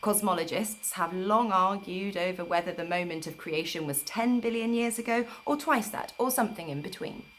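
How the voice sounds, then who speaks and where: A woman reading a book aloud in a measured, deliberate storyteller's delivery on an amateur livestream recording. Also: fairly low pitch, slight background noise. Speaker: storyteller reading an audiobook live. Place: informal livestream, not a studio.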